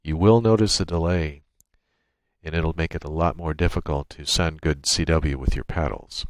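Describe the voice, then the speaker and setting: A man narrating an unscripted software tutorial, explaining each step in a matter-of-fact way. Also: rumbling low, moderate pace, dry recording. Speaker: tutorial presenter. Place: indoors at a computer, screen-recording voice-over.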